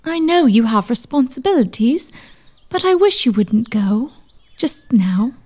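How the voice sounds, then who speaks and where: Woman acting high-voiced anxious Victorian sister. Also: very animated, unhurried, a little mellow. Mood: gentle concern. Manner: earnest, foreboding. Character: anxious young Victorian sister, gentle and fretful. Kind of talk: audio drama acting.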